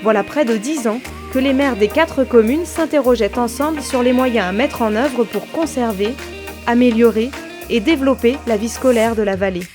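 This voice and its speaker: A woman's voice: fairly high pitch, normal speed, over music. A woman narrating a scripted informational documentary.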